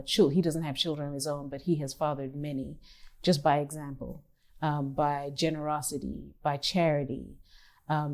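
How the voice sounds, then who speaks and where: Low-voiced, mellow woman artist. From Kenya. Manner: thoughtful, measured, with pauses and restarts. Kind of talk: reflective interview talk.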